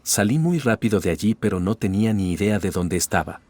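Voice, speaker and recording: Low-voiced man reading stories aloud. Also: fairly quick. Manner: even, steady reading of first-person stories. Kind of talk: scripted narration.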